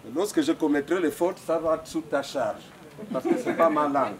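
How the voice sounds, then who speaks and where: An older man with a Gabonese accent, an elder whose first language is Ipounou, making a halting formal speech at a customary wedding. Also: a little high, animated, a crowd in the background. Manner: halting, searching for French words, many fillers.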